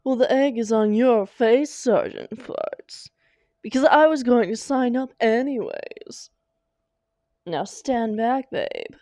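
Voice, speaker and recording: A woman playing a sardonic, hot-tempered supervillain with a soft spot for her partner in a comic roleplay audio drama. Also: fairly high pitch, slow, dry recording, studio quality. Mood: defiant, heated. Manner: sarcastic, dramatic, affectionate with partner.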